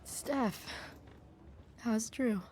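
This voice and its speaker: A woman playing a guarded, shaken teenage girl in a video game, halting and subdued. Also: a little high, a little mellow, a little hiss or hum. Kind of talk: naturalistic video game acting.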